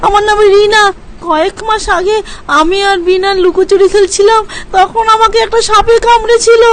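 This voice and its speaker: A woman's voice: very high voice, steady tone, normal speed. A woman voicing the ghost of a playful little girl in a cartoon story, childlike, wistful and plaintive. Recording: music under the voice.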